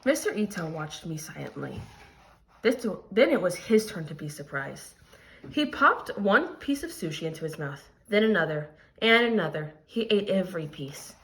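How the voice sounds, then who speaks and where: A woman's voice: fairly low pitch, big pitch swings, normal speed, low-quality recording. A woman teacher reading a picture book aloud to young students and explaining it as she goes. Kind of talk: expressive read-aloud with lesson commentary.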